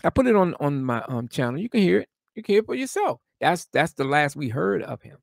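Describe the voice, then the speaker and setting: A man chatting casually as a guest on a live stream, hoarse from losing his voice and rambling with lots of fillers. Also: on the high side, very animated, fast talker, no room sound, studio quality. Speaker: guest speaker.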